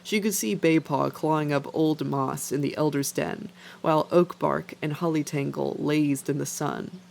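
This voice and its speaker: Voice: medium pitch, fairly even intonation, moderate pace, gravelly, darkish tone. A narrator reading scripted fiction as the storyteller of an animal tale. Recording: faint background noise.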